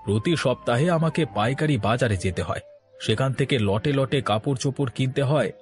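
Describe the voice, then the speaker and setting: A man's voice: medium pitch, brisk, soft background music, close to an everyday voice. A man voicing a kind, honest shopkeeper and father in a moral-story cartoon, speaking in a welcoming, earnest way. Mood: earnest, instructive.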